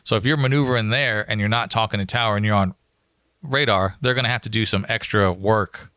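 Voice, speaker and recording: A man's voice: on the low side, brisk, sharp tone, clean tone, no echo, clean studio sound. An American man talking casually and informally, explaining how his work as an air traffic controller goes, like a guest on a podcast.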